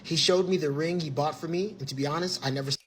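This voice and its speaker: A man reading a story post aloud quickly, with dramatic asides between lines. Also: fairly high pitch, fairly bright tone, a little hiss or hum, voice pushed up, natural voice.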